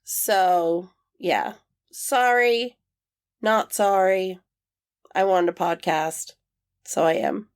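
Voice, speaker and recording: A woman's voice: mid-range voice, drawn-out words, long pauses, clear, resonant tone. A woman co-hosting a film podcast, her voice nasal from a cold, chatting in a dry, matter-of-fact way. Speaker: podcast co-host. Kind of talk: casual chat.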